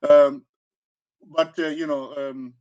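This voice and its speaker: A sixty-year-old man with a southern African accent, reflecting in conversation as an interview guest, halting and often repeating himself with frequent "you know". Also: on the high side, level tone, a little mellow, dull audio. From Zambia, born in South Africa. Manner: halting, searching delivery with frequent repetitions and 'you know'. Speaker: interview guest, karate teacher.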